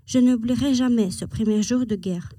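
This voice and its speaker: A woman's voice: a little high, moderate pace, warm tone, no echo. A woman from Ukraine, a language student, giving prepared interview answers.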